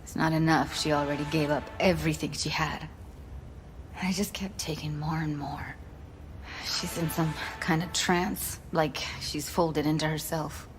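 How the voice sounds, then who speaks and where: A woman's voice: low-pitched, fairly even intonation, unhurried, faint music behind. An actress voicing a jaded, frank, protective young woman tech expert in a video game, with some noise behind her. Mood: bitter, subdued. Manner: frank, weary, informal.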